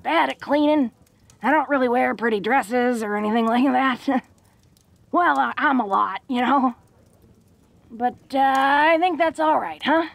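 A woman acts out a tough, smitten orc girl in a romantic audio roleplay. Her voice is fairly high with a gravelly fry, and she takes her time. She stumbles bashfully over her blunt, slangy words. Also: measured pace, no room sound. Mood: fond, bashful. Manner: bashful, stammering, informal tough-girl diction.

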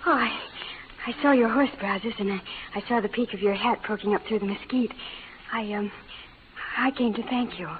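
An actress playing a spirited, romantic young frontier bride in an old radio western, speaking with earnest melodrama. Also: female voice, a little high, moderate pace, close to an everyday voice. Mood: eager, grateful. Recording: tinny, noisy old radio recording.

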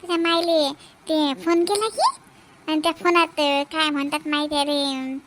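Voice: sits high, steady tone, moderate pace, very noisy, lightly acted. A performer voicing a chatty village grandmother in a comedy cartoon, with exclamatory rustic Vidarbha Marathi chatter. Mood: gossipy, amused.

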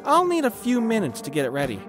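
Precise, higher-voiced bookish teen boy, acted by a man. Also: huge pitch swings, fast talker, a bit bright, heavily acted. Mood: studious, serious. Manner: formal, precise. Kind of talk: acted game dialogue, fan dub. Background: guitar music.

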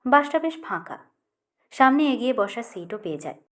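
High-voiced woman narrating a story. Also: big pitch swings, normal speed, a bit bright. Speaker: audiobook narrator. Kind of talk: scripted literary story narration.

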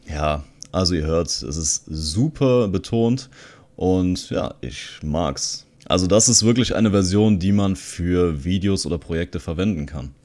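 A man talks in a low voice. He presents like an online video host walking through software on screen. His delivery is casual and conversational, explaining as he goes. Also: unhurried. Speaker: video host, content creator. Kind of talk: casual screen-recording tutorial commentary.

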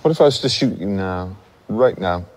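A cold, clipped detective. He keeps his voice low and level, in tense, terse TV drama style. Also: lively intonation, normal speed, darkish tone, slight background noise. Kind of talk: TV drama acting.

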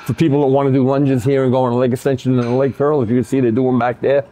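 A plain-spoken man in his sixties who owns a gym. He talks casually and bluntly. His voice sits at an ordinary pitch. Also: level tone, rapid-fire, warm tone. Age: 60s. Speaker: gym owner. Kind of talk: casual interview and gym tour.

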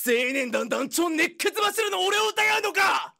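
An actor playing a hot-blooded young male youth-brigade leader in a voice drama, rough, blustering and bellowing. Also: extremely high pitch, lively intonation, moderate pace, pushed and bright, raised voice, heavily acted. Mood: furious. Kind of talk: Japanese voice drama acting.

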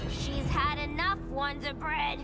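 A woman's voice: sits high, fairly even intonation, takes it slowly, loud music behind the voice. A woman voicing a flippant, wisecracking clown antiheroine with slangy talk in a video game. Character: cheeky, wisecracking female clown antihero, irreverent and tough. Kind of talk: video game character acting.

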